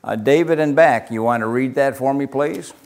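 An older man with a soft, folksy voice leading a casual Bible study class. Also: mid-range voice, normal speed. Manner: soft-spoken, folksy, chuckles often.

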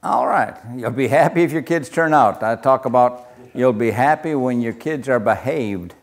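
An older man with a soft, folksy voice leading a casual Bible study class. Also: mid-range voice, lively intonation, moderate pace. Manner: soft-spoken, folksy, chuckles often.

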